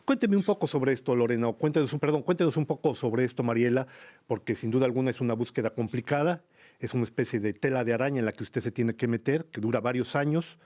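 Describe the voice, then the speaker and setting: A man hosting a radio interview, speaking in a measured, formal way and leading with long framing questions. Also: medium pitch, a little mellow. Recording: radio broadcast studio.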